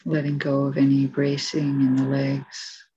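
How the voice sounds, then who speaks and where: A woman meditation teacher giving guided instructions in a soft, gentle, unhurried way. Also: extremely low pitch, completely flat delivery, husky. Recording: dull, muffled lo-fi recording.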